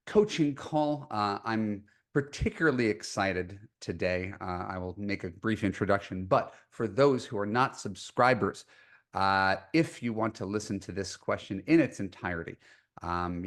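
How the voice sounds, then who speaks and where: A man hosting a coaching call as a dating coach, speaking in measured, deliberate phrases. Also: on the low side. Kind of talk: podcast intro and coaching call.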